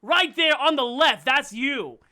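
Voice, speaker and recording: Male host, very high and piercingly bright voice, eager fan energy. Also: unhurried. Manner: excitable, gushing fan. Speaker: podcast host. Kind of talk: casual interview chat.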